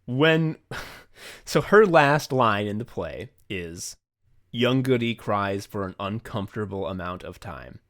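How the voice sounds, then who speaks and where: A man with a fairly low voice talks in a loose, casual podcast style. His manner is effusive and informal, and he swears easily as he hosts. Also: animated, slow pace, a bit bright. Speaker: podcast host. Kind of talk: casual behind-the-scenes podcast chat.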